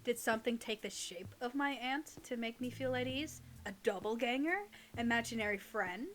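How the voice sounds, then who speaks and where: A young woman with a fairly high voice reads creepy stories in a relaxed, chatty way. She co-hosts and drops joking comments into what she reads. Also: normal speed. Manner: joking, interjecting asides while reading. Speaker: co-host reading listener stories. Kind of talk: casual chat and reading aloud creepy stories.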